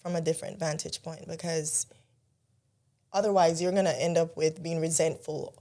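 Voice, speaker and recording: A woman's voice: sits low, normal speed. Woman telling her story. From Jamaica. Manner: earnest, storytelling, animated when recalling childhood. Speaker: guest. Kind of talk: casual podcast conversation.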